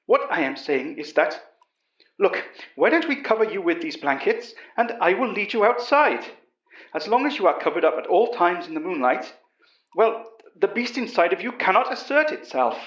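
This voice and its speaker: A man's voice: sits high, big pitch swings, normal speed, fairly bright tone. A man playing an elderly English professor who earnestly recounts a horror story, in a roomy, slightly dull recording. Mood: reassuring. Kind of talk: first-person horror audio drama narration.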